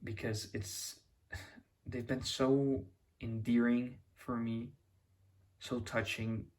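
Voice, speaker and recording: A man's voice: mid-range voice, little pitch movement, slow, darkish tone. A young man with a Mexican accent talking to camera in an unscripted, reflective ramble, often restarting and hunting for words. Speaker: YouTuber.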